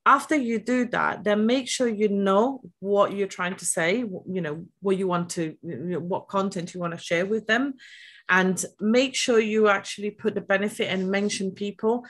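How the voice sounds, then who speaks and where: A woman with an Italian accent, a podcast guest giving social media tips with quirky, lively chat and many fillers over a video call. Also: medium pitch, moderate pace. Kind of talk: podcast interview. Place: home room.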